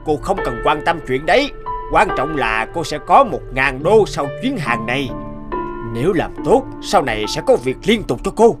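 A man's voice: on the high side, sing-song, moderate pace, over music. A man playing a scheming burly smuggler in a cartoon, sly, cynical and persuasive. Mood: coaxing. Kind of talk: dubbed cartoon acting.

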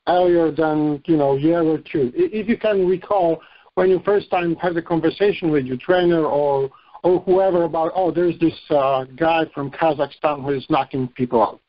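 A man asking informal press questions over a conference phone line, halting and repeating his words. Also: a little high. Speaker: boxing reporter asking questions. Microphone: telephone conference call.

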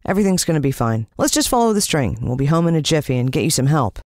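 A woman's voice: sits low, sing-song, very fast, a little mellow, no echo, studio quality. A woman with an American accent voicing a loyal, caring boy adventurer in a storybook, earnest and reassuring.

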